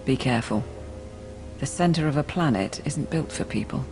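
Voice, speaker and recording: A woman playing a deferential, cautious military advisor in a video game, measured and serious. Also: extremely low pitch, expressive. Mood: cautious, grave. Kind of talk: video game cutscene acting. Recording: clear music underneath.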